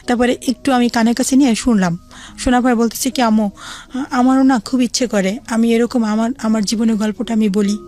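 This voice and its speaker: A woman's voice: a little high, steady tone, fairly quick, a little mellow, faint music behind. A middle-aged woman with a Bangladeshi accent, telling her life story conversationally as a guest and quoting remembered dialogue as she goes.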